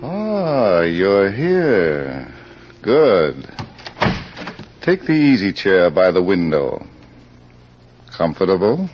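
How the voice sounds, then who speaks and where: An actor playing a suave, eerie crime club librarian in deliberate, theatrical old radio style. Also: male voice, low-pitched, huge pitch swings, full character voice. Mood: courteous, ominous. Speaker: host and narrator of mystery anthology. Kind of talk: 1940s radio acting, dramatic narration. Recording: muffled, noisy old radio recording.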